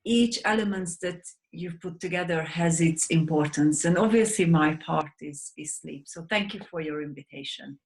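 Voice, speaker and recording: A woman's voice: low-pitched, normal speed, few pauses, darkish tone, rough recording. A woman in her thirties from Hungary with a Hungarian accent, a guest in an interview, speaking earnestly with frequent restarts over a video call. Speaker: guest, sleep advocate. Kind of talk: interview conversation.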